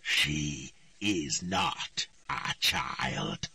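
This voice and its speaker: A man voicing a cold, hostile, inhuman presence speaking through a young girl, slow and mocking. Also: very deep voice, big pitch swings, creaky, pushed and bright, husky, no room sound, studio quality, recorded from a distance, pitched below the performer's own voice, heavily acted. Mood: menacing.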